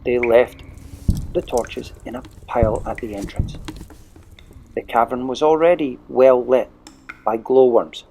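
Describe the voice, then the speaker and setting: A man narrating a children's storybook audio play in a measured, expressive way. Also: medium pitch, slight background noise, rough recording.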